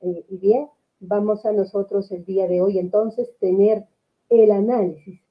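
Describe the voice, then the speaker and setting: A woman's voice: medium pitch, fairly even intonation, a little slow. A middle-aged Peruvian woman hosting an online webinar in a formal, ceremonious manner, speaking on a video call. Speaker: host, regional government crafts official. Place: small room with bookshelves, indoors. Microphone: computer microphone on video call. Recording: dull lo-fi video-call audio.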